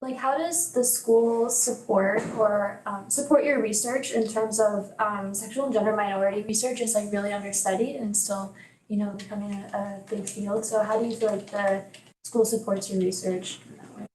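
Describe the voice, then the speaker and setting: A woman's voice: mid-range voice, steady tone, normal speed, a little mellow. A woman in the audience asking a tentative question, with many fillers. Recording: distant echoey mic with faint noise.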